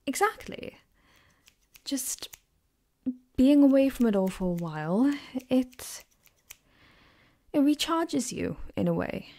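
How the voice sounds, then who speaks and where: A caring girlfriend acted by a woman speaks softly and slowly in an intimate ASMR roleplay. Her voice is lightly high, mellow and breathy, close to the microphone. She is gentle and reassuring, with a teasing streak. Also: sing-song, heavily acted. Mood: tender, reassuring. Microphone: close binaural microphone.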